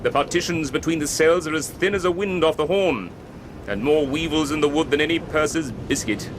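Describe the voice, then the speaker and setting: An actor playing a gruff, weary convict-ship captain in a period radio drama, with salty seafaring speech. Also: male voice, fairly high pitch, brisk, natural voice. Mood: grumbling, wary. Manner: barks nautical orders, grumbles in old seafaring idiom. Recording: noisy shipboard sound effects behind.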